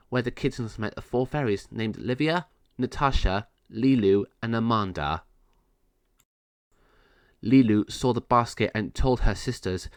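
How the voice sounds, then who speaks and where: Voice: medium pitch, no echo, clean studio sound. A narrator reading a children's story aloud in a scripted read-along style.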